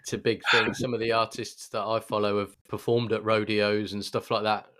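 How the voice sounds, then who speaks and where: A man's voice: on the low side, level tone, normal speed, a bit bright. A man chatting casually as a podcast co-host. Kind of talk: casual chat.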